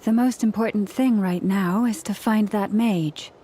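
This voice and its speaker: An actress playing a courteous, purposeful young female adventurer in a video game, speaking in a polite, measured way. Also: mid-range voice, mellow, husky. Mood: composed.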